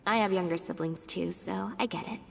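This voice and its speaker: A woman's voice: lowish voice, fairly quick, vocal fry, soft background music. Wry, cool-headed young woman. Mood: reassuring. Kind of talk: video game voice acting.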